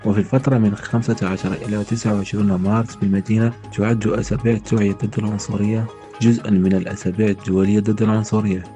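A man's voice: lowish voice, level tone, racing, dark tone, faint music behind. A man reading scripted news as a newsreader. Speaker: news reader.

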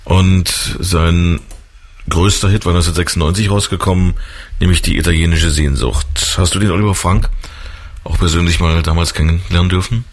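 A man with a very deep voice hosts a radio interview. He talks in a loose, chatty way and fills his pauses with "äh" and "ähm". He asks his guest questions as he goes along. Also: fairly even intonation. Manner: chatty, with many fillers. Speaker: radio host.

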